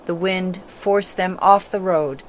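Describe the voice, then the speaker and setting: A woman's voice: on the low side, steady tone, slow pace, slight background noise. A female narrator reading a scripted children's story in a dramatic storytelling style.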